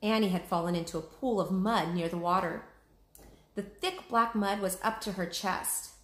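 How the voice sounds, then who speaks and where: A woman's voice: medium pitch, normal speed, fairly bright tone. A woman hosting a read-aloud, narrating a children's storybook expressively. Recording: echoey room.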